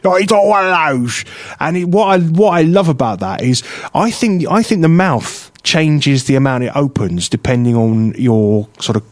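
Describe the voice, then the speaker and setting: A man with a London-ish accent co-hosting a radio show, bantering and teasing with quick laughs. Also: a little high, expressive, dry recording. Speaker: radio co-host. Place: radio studio. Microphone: studio microphone.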